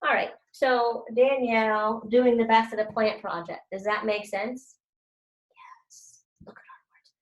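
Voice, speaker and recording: Higher-pitched woman teaching in echoey room. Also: steady tone, unhurried, dull audio. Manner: explanatory, informal. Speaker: teacher reading aloud. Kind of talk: read-aloud with thinking-aloud commentary.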